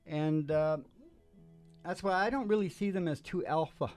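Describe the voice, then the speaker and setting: A middle-aged man hosting a show, telling opinionated, digressive stories casually and haltingly. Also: fairly high pitch, a little mellow, soft background music. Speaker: host of a music and storytelling show. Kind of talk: rambling casual storytelling between songs. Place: small dim stage with curtains. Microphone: microphone on a stand.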